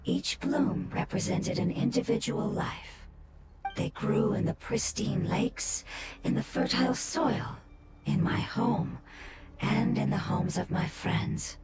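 A woman voicing a gentle floating fungus creature in a video game, with soft, measured, reflective speech. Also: medium pitch, creaky, very noisy. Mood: wistful. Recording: game music under the voice.

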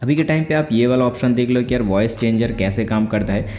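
Young male tech host, informal tutorial delivery, mellow tone, echoey room with faint music. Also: mid-range voice, fast. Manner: informal, conversational, explains step by step. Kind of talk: casual tutorial voice-over.

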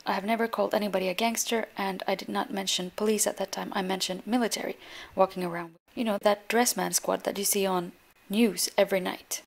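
A woman's voice: medium pitch, fairly even intonation, fairly quick, gravelly. A woman in her thirties from Finland with a Finland-Swedish accent, whose first language is Swedish, talking candidly and rambling a little, with some swearing, in a casual vlog. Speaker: vlogger. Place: outdoor balcony of an apartment block. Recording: noisy outdoor street ambience.

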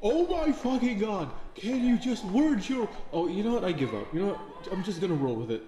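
A man's voice: high voice, big pitch swings, a little fast, warm tone, reverberant, long reverb tail, pitched above the performer's own voice. Man acting a frantic, self-scolding teenage inner voice. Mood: exasperated. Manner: exasperated, outburst-prone, sarcastic. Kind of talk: amateur audio roleplay inner monologue.

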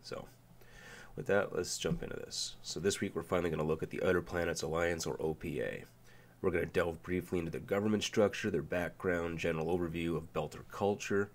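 Male host, deep and gravelly, nasal from a cold, relaxed and a bit rambling. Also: fairly quick. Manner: relaxed, loose with fillers and restarts. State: nasal from a sinus flu. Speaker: video essay host. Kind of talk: casual explainer narration.

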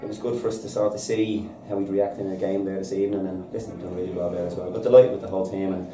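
A man's voice: sits low, level tone, far from the mic. An Irish man in his thirties, a football manager giving an upbeat post-match interview, with "listen" in many of his answers. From Ireland. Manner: upbeat, fast and repetitive, says "listen" a lot. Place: bare indoor room at a stadium. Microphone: reporter's handheld recorder held out. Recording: echoey room, off-mic, crowd behind.

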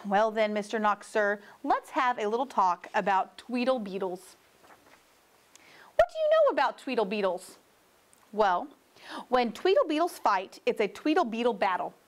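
Woman playing an animated, insistent storybook fox. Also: on the high side, moderate pace. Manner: coaxing, tongue-twisting patter. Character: playful, insistent male storybook fox trickster.

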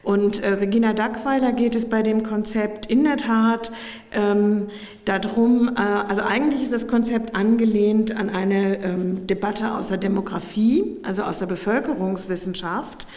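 A middle-aged woman, slightly hoarse from a cold, gives a measured, formal academic lecture, explaining as she goes. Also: medium pitch, steady tone, a little mellow. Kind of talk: public lecture. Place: indoor lecture room at a podium. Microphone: podium microphones. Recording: reverberant lecture hall.

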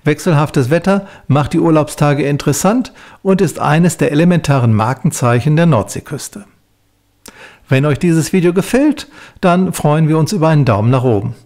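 A man's voice: on the high side, lively intonation, moderate pace, a little mellow. A man reading a scripted voice-over as the narrator of a travel vlog.

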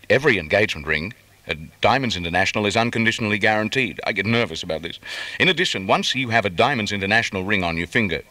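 Bright Australian radio man, lively delivery. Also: on the low side, dry recording. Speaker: radio breakfast host. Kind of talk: 1970s commercial radio breakfast presenting.

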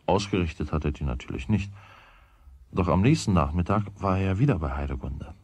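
An actor playing the storyteller, narrating a German children's radio play from a script. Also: male voice, deep voice, animated, brisk, darkish tone, breathy edge, dry recording, exaggerated voice. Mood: calm storytelling. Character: male storyteller of a children's tale.

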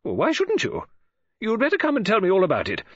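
A man voicing a chatty, excitable middle-aged woman novelist who talks in a gushing, coaxing way. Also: quick pace, dry recording, full character voice. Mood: fussing. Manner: gushing, coaxing, high breathy falsetto. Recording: dull lo-fi audiobook recording.

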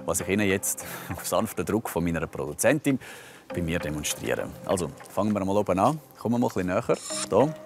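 A man in his thirties hosting a TV science report outdoors, playful and self-mocking. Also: fairly low pitch. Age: 30s. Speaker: science show host. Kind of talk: presenting a TV science report to camera. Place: outdoors on a university campus.

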